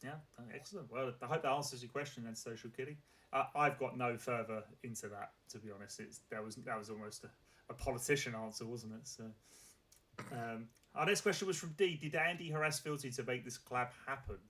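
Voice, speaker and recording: A man hosting a relaxed streamed chat show in an ordinary mid-range voice. He talks in loose, rambling bursts, padding his questions with fillers and starting over mid-sentence. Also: medium pitch, a little fast. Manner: rambling, filler-heavy questions with many restarts. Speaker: talk show host.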